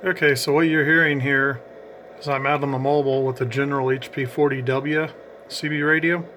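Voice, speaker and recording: Man, casual explainer, sharp bright tone, fairly flat pitch, some background noise. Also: a little fast. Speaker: narrator of a CB radio distance test.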